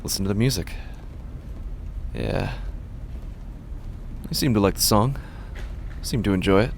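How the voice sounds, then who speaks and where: A weary, resigned street musician, played by a man, narrates his own story in a low voice. His delivery is brooding and understated, an audio drama monologue with faint noise behind it. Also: unhurried, gravelly. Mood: weary, reflective. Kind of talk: first-person horror audio drama narration.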